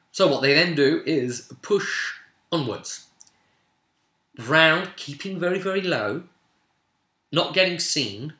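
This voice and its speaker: A man hosting a role-playing vidcast as game master, casually recapping a tabletop session in an animated storytelling way with sudden emphatic swells. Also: medium pitch. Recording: roomy, echoing room sound.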